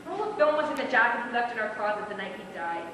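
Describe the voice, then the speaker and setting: A high-voiced, exasperated young woman played by a stage actress, worried and quick to snap, with big swoops in pitch. It is live amateur theatre, heard from far off in a very echoey room. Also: lively intonation, background noise, natural voice. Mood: guarded. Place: small theatre stage, distant microphone.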